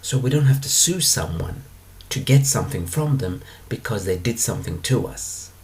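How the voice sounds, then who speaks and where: A man talking alone as a spiritual teacher, explaining his ideas in a calm, unhurried way with drawn-out pauses. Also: mid-range voice. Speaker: spiritual teacher answering questions. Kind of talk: monologue lecture.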